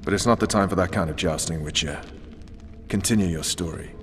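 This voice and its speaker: An actor as a low, echoing, world-weary interrogator. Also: male voice, natural voice. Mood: calm, cynical. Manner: measured, dry. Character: world-weary, shrewd male military interrogator. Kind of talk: dramatic fantasy game acting. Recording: reverberant chamber, faint background noise.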